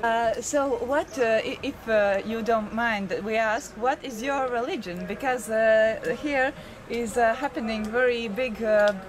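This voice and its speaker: A woman interviewing a traveller in English, with many pauses and fillers. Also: a little high, music in the background. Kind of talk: halting interview questions.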